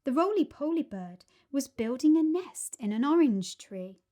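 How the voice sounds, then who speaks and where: A woman reading a children's storybook aloud as an expressive narrator. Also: sits high, normal speed. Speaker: storybook reader.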